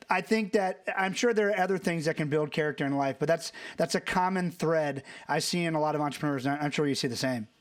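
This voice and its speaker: A man from the United States hosting an interview, speaking in a reflective, conversational way with loose, fragmented phrasing. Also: on the high side. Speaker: podcast host. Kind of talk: casual interview chat.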